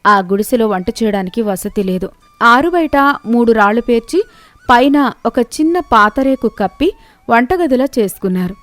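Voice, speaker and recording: A woman's voice: on the high side, expressive, moderate pace, a bit bright, dry recording. A woman narrator reading a scripted moral story.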